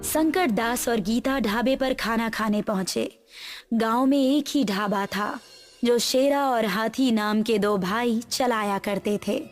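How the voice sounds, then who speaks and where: A woman's voice: on the high side, slow pace, soft background music. A woman narrating a scripted moral story as a storyteller.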